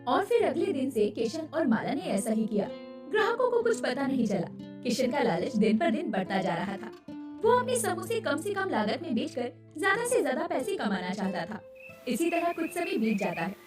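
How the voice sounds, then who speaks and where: A woman reads a scripted moral story as narrator. Her voice is high and highly animated. It sounds echoey and a little away from the mic. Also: moderate pace. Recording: echoey, distant, music behind.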